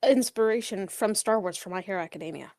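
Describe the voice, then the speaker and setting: A woman's voice: medium pitch, quick pace, darkish tone, dry recording, clean studio sound. A woman chatting casually in podcast banter with friends.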